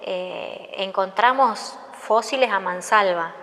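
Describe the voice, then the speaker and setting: A woman's voice: mid-range voice, takes it slowly, fairly bright tone. An Argentine woman explaining casually, as a specialist in her field would. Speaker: paleontology specialist. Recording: long reverberant hall echo.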